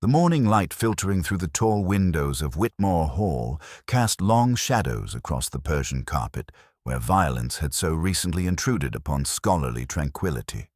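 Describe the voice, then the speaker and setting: A man reading a scripted mystery story as narrator, in a measured, even-paced way. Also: very deep voice, no echo, studio quality.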